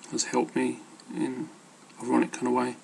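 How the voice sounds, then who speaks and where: Flat, gravelly man rambling casually. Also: medium pitch, brisk, slight background noise, low-quality recording. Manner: hesitant, loosely worded. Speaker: amateur fitness vlogger. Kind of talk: casual rambling advice talk.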